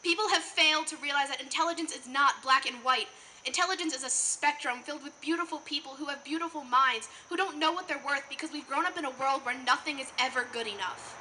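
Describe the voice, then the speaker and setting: A woman's voice: high voice, fast talker, sharp tone, rough recording. A teenage young woman performing slam poetry live with an emphatic, earnest, rhetorical delivery. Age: teens. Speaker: slam poet. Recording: noticeable background noise, live event.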